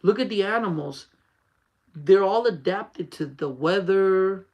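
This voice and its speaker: A man's voice: high voice, lively intonation, slow, dull audio. A man in his forties hosting a casual faith talk for his channel, speaking in an earnest, repetitive, urging way. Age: 40s. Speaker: channel host.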